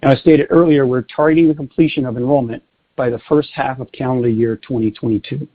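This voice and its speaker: A man presenting as a company executive, reading prepared corporate remarks in a formal, measured way. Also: mid-range voice, fairly even intonation. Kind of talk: scripted corporate earnings call presentation. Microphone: telephone. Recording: tinny narrowband conference phone line.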